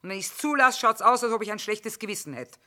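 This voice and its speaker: A woman's voice: mid-range voice, lively intonation, fast talker, pushed and bright. An actress playing a shrewd, middle-aged female war trader, earthy and sardonic, in theatrical style. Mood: indignant. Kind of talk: theatrical stage acting with half-sung song.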